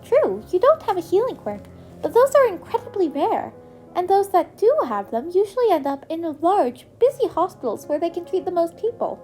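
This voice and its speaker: A woman's voice: very high voice, big pitch swings, normal speed, warm tone, faint music behind, pitched above the performer's own voice. A woman voicing a cheerful, chatty male creature who is a school principal, speaking politely and eloquently in an acted story. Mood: upbeat, explaining.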